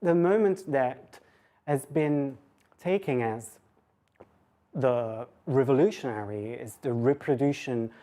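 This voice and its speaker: A woman with a low voice delivers an academic lecture in an informal register. She talks conversationally, as a lecturer thinking aloud, and cuts into her own sentences with side remarks. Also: slow pace, warm tone. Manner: conversational, self-interrupting asides. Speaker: university lecturer giving a talk.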